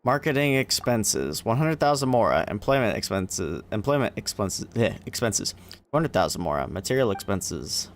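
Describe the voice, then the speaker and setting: A man's voice: on the low side, very animated, moderate pace. A man giving casual livestream game commentary, with wry, muttered asides to himself. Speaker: game streamer.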